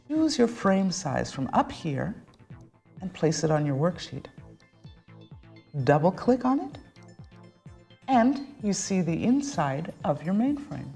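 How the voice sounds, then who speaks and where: Animated woman narrating a software tutorial. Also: mid-range voice. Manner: clear step-by-step instruction, addressing the viewer directly. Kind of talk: scripted instructional narration.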